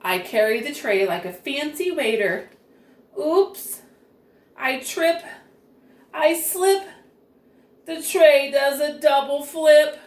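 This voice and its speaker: Slow-paced woman reading a children's storybook, roomy sound. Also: sits high, expressive. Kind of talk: expressive storybook read-aloud. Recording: roomy echo, distant microphone.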